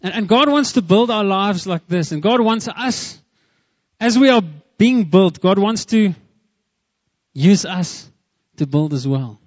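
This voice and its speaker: A man with a South African English accent leads a church service as its pastor, his voice pitched fairly high. He talks in a friendly, chatty way with plenty of "amen". The recording is dry and a bit dull, with faint music underneath. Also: expressive, a little slow, no room sound. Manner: warm, chatty, mixes in Afrikaans, lots of "amen" and "Lord". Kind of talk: church prayer and sermon. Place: church hall with a live congregation.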